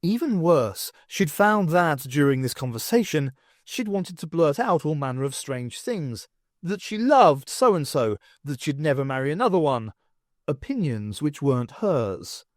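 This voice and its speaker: Lively male audiobook narrator. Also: on the high side, unhurried, no room sound, clean studio sound. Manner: lively, expressive reading. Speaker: audiobook reader. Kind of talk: storybook narration.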